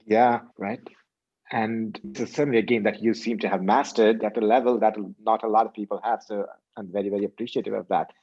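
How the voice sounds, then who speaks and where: A man's voice: lowish voice, little pitch movement, a little fast, darkish tone, husky. A man hosting an interview over a video call, asking questions and recapping his guest's points with frequent affirmations. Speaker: host. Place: indoor room by a window. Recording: slightly dull video call audio.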